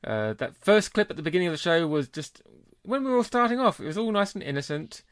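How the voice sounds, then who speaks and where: A man's voice: sits high, very animated, fast, smooth, dry recording, clean studio sound. A man hosting a casual podcast and trading jokes with his co-host. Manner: jokey, chatty, laughs easily. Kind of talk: casual chat.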